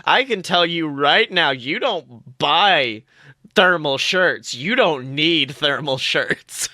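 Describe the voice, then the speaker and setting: A man co-hosting a podcast in casual pop-culture chat, animated, slangy and given to swearing. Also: on the high side, slow pace, no room sound, clean studio sound. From United States. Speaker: podcast co-host.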